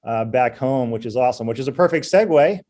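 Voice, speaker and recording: Relaxed middle-aged man hosting a webinar. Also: mid-range voice, fairly quick. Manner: relaxed, chatty, many fillers. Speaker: webinar host. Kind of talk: casual business webinar. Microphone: video call.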